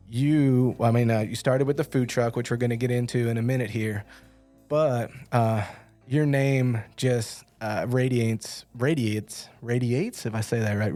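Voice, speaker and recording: A man's voice: medium pitch, steady tone, a little slow, dark tone, faint music behind. A man hosting a podcast in casual interview chat, informal and slangy, often repeating his words. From United States, from Philadelphia area. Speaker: podcast host and chef.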